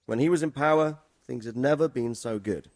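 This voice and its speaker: An actor playing a middle-aged, protective noble father who gives measured, instructive advice in a game. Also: medium pitch, darkish tone, close to an everyday voice. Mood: explaining. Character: protective, wise noble father advising his son. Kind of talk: dramatic game cutscene acting.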